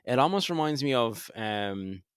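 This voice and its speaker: A man's voice: medium pitch, animated, unhurried, smooth, no echo, studio quality. An Irish man co-hosting a podcast, chatting casually as he narrates a game play-through. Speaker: podcast co-host.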